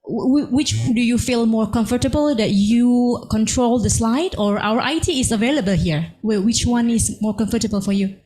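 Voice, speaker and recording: A woman's voice: on the high side, normal speed, hardly any pauses, dark tone. A woman moderating an online course, carefully reading a speaker's biography with hesitant fillers. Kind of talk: formal introduction of a speaker.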